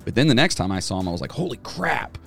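A man's voice: medium pitch, very animated, fast, gravelly, a bit bright, faint music behind. A man co-hosting a casual podcast chat, a former band singer who rambles with plenty of fillers and restarts. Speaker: podcast co-host.

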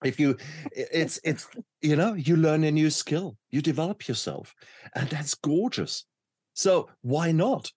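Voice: mid-range voice, expressive, measured pace, a little mellow, breathy edge, no room sound, studio quality. An interview host speaking conversationally, informal and affirming toward the guest. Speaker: interview host, book co-author.

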